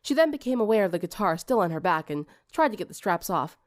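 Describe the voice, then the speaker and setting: A woman's voice: medium pitch, big pitch swings, fast, dry recording, clean studio sound. A woman reading scripted fiction as an audiobook narrator.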